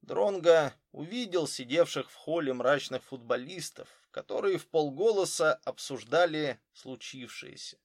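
A man narrates a detective novel as an audiobook reader. His voice sits a little high for a man, and the recording is dry and close, with very little room sound. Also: animated, unhurried, few pauses, dry recording.

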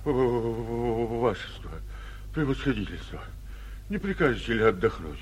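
An actor playing an obsequious, nervous town mayor who stammers and fawns, in a 1940s radio play. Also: male voice, medium pitch, animated, unhurried, slight background noise, lightly acted. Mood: fawning. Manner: stammering, fawning. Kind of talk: 1940s theatrical radio acting.

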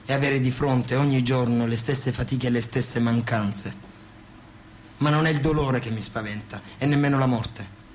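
A man's voice: medium pitch, steady tone, normal speed, a little hiss or hum, close to an everyday voice. A man acting in radio theatre as a dreamy, boastful working-class poet. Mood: weary, reflective.

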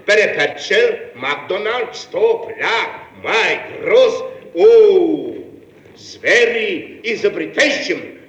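A pompous British gentleman delivers comic phrases with great deliberation. His voice swings widely in pitch in a roomy, echoing radio play recording. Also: very animated, extremely slow, lo-fi sound, heavily acted. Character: dignified British visitor talking in garbled foreign gibberish.

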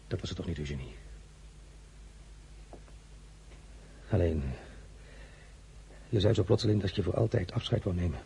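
An actor playing a commanding, weary emperor in a radio drama, halting and imperious, with a nostalgic streak. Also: male voice, deep voice, expressive, creaky, mellow, slight background noise. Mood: wistful, pleading. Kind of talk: dramatic radio-play acting.

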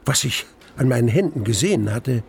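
An actor playing a grave man who recounts mortal terror, narrating a radio play deliberately and with weighted pauses. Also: mid-range voice, animated, vocal fry, slight background noise. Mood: dread. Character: grave male narrator recalling his mortal terror in a cellar. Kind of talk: dramatic first-person radio play narration.

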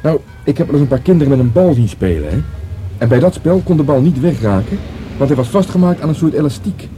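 A man's voice: medium pitch, sing-song, quick pace, mellow, soft background music, natural voice. An actor playing a deferential younger police detective in a radio drama, tentative and earnest, repeating words as he reasons. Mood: eager, hesitant. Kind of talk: Dutch radio drama dialogue.

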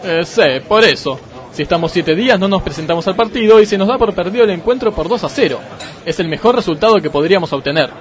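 Actor doing a deadpan club president, high voice that swings around, keeps repeating himself. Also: male voice, fast, a faint crowd. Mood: pompous. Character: dim, repetitive male football club president. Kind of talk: comedic radio sketch acting.

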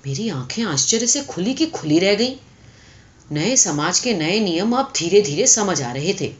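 A woman narrating a literary short story from a script in an expressive storytelling style. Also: on the low side. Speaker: audiobook narrator.

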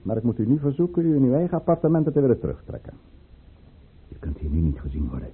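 An actor playing a commanding, guarded count and governor in an old-fashioned radio drama, with a formal, deliberate, urbane delivery. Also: male voice, mid-range voice, sing-song, slightly rough, heavily acted. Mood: stern, courteous. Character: commanding, guarded male count and governor, coolly courteous schemer. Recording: muffled, phone-like old radio recording.

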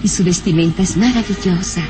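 An actress playing a smitten young heiress in an old radio serial, musing to herself in an admiring, romantic way. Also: female voice, on the low side, a little fast, over music, lightly acted. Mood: enamored musing. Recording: noisy old radio recording.

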